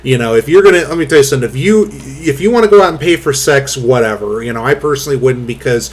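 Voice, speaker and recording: A man hosting a casual commentary chat, rambling through fillers with blunt, crude talk and a booming voice. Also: expressive, fast. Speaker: host.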